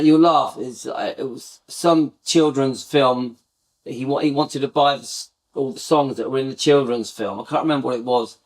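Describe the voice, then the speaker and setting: A middle-aged man hosting a casual live fan chat, talking to viewers in a rambling, reminiscing way with many restarts. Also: medium pitch. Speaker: fan channel host.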